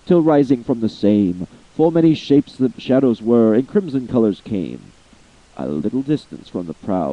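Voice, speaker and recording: Man reading verse dramatically, lo-fi. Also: medium pitch. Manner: theatrical, swooping delivery of verse. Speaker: amateur reader.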